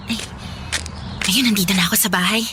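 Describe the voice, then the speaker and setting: A woman's voice: medium pitch, fairly even intonation, a little fast, noisy background, lightly acted. A woman playing a timid, dutiful teenage daughter in a Filipino radio drama, speaking politely and hesitantly. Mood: eager. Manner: hesitant, stammering, polite and pleading.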